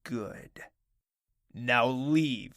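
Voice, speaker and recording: Guy doing a brooding prince, slow and curt, dry close sound. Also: mid-range voice, a bit bright, dry recording. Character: brooding young male prince, guarded. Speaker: narrator and lead. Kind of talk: audio drama acting.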